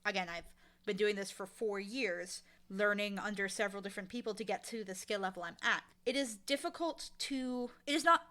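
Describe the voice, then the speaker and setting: A woman with a bright, forceful voice sits at a middle pitch. She talks casually as an interview guest, animated and emphatic as she explains her work. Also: fairly quick. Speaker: interview guest, audio drama creator and casting director.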